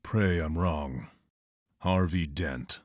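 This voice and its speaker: A brooding vigilante detective speaks very low and close, with no room around him. He is terse, serious and measured, in motion comic drama. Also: dry recording, exaggerated voice. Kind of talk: scripted motion comic acting.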